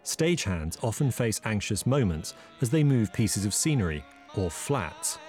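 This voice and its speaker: A man narrating a documentary in a measured, informative scripted delivery. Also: lowish voice, sing-song, a little mellow, soft background music.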